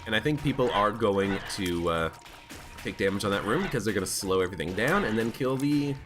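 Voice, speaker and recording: A man with a stuffed-up, hoarse voice, chatting casually as he plays a video game. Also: lowish voice, lively intonation, a little fast. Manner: chatty, thinking aloud while playing. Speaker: gaming channel host. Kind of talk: casual let's play commentary. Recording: background game music.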